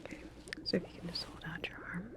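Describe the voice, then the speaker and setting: A woman whispering gently and breathily in an ASMR medical roleplay, playing a researcher who gives hesitant, procedural instructions. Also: quick pace.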